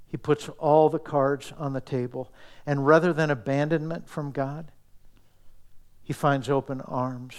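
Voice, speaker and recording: Gravelly-voiced man preaching earnestly. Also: fairly high pitch. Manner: earnest, intimate preaching with soft dips and whispered asides. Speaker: pastor. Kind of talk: sermon.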